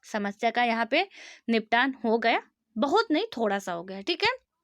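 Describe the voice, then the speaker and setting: High-voiced teacher woman, dry sound. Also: sing-song, normal speed, fairly bright tone, no room sound. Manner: explains with homely examples and rhetorical questions, often checks "ठीक है". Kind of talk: conversational exam-prep lecture.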